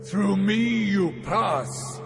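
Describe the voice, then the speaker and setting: Loud droning music surrounds an ancient, merciless gate of Hell. The voice is deeply creaky and crawls along in swooping, ominous declamation, like a pronouncement of eternal doom in an audio drama. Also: male voice, exaggerated voice. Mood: ominous, commanding. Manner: slow, ominous, declamatory proclamation.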